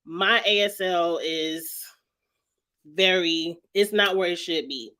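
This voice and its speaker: A woman hosting a casual review vlog, chatty and emphatic, her voice slightly raspy and stuffed up from a cold. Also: fairly low pitch, takes it slowly, bright, forceful tone. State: lingering cold with sinus congestion, clearing throat often. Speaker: YouTube show host reviewing reality TV. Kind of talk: casual vlog commentary to viewers.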